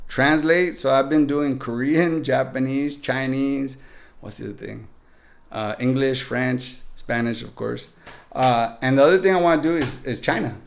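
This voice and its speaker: Gravelly-voiced man with a Mexican accent. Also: mid-range voice. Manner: joking, upbeat, gives tips directly to listeners. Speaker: podcast guest. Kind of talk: casual podcast chat.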